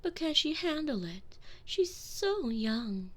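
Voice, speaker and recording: A woman voicing a slow, whispering vampire mistress. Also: sits high, sing-song, exaggerated voice, rough recording. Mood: taunting. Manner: teasing, manipulative, slips into soft whispers. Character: seductive commanding female vampire mistress. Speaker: voice actress in a one-sided audio roleplay. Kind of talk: intimate binaural roleplay, addressing the listener.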